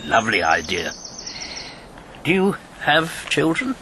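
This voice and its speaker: A man's voice: mid-range voice, wildly swooping pitch, slow, pushed and bright, full character voice. An actor playing a talkative, needling British ex-agent in his fifties in a radio drama, rambling and sardonic. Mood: reflective, rueful. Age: 50s. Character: talkative, needling fifty-year-old male ex-wartime agent. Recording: clear background noise with birdsong.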